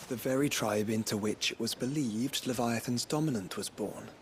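A man's voice: mid-range voice, moderate pace, slight background noise, close to an everyday voice. An actor in a video game cutscene playing a learned man who recites lore in a formal, precise, bookish manner. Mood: sceptical.

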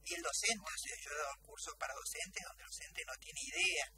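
A woman's voice: mid-range voice, wildly swooping pitch, fairly quick, noisy background. An Argentine woman explaining things emphatically and didactically as a guest expert in a radio discussion. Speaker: guest doctor. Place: radio studio, indoors. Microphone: studio table microphone.

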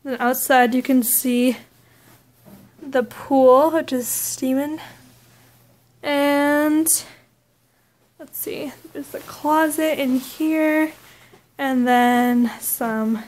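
A woman's voice: sits high, steady tone, drawn-out words, long pauses, a little mellow. A young woman chatting casually to a vlog camera in an informal, hesitant way with trailing phrases. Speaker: vlogger. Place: indoors, bedroom and hotel suite.

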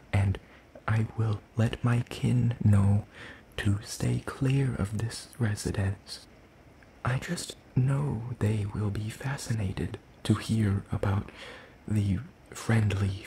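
A man playing a lanky, ancient, world-weary demon in a soft ASMR roleplay, speaking in a hesitant, breathy murmur with formal wording. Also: lowish voice, a little hiss or hum, poor audio. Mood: gentle, fond.

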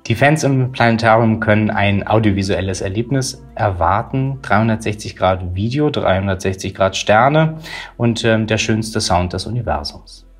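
A man's voice: lowish voice, moderate pace, faint music behind. A man in his thirties giving a promotional interview answer on a video call.

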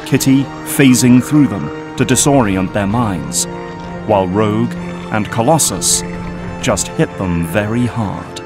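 A man's voice: lowish voice, a little slow, loud music behind the voice. A man narrating an action audio drama in a scripted, dramatic style.

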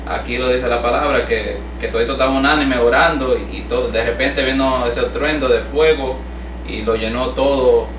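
A man in a home Bible study group, answering in a halting way that repeats words and falls back on amens. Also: medium pitch, fairly even intonation. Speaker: church member in Bible study. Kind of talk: Bible reading and group discussion. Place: living room, small group seated. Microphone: far camera. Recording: echoey room from far off, clear background noise.

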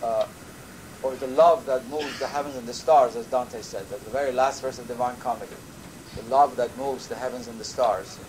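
An older man, a native Persian speaker from Iran, giving a measured university lecture with thoughtful pauses. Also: medium pitch, fairly even intonation, a little mellow, poor audio. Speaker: professor. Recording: lecture recording with audible background noise.

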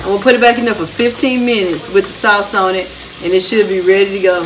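A woman's voice: mid-range voice, fairly even intonation, quick pace, noisy background. Woman, middle-aged, homey cook-along chatter, roomy kitchen sound, clanking pots behind. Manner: chatty, homey, talks to viewers while cooking. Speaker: home cooking vlogger. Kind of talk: casual cook-along narration. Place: home kitchen. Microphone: phone camera held while cooking. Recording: echoey kitchen with pot and dish clatter.

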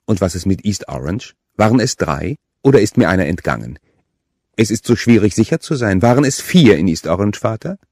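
A man's voice: sing-song, a little fast, no echo, studio quality. A man voicing a cold killer who mockingly taunts his captive. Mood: taunting. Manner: mocking, menacing, louder and higher than the narration.

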